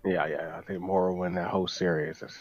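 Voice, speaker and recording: A man's voice: deep voice, flat delivery, a little fast. A man chatting casually as a guest on a podcast, in a dull lo-fi recording. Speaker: podcast guest, tabletop gamer. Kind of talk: casual chat. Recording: dull, lo-fi dry audio.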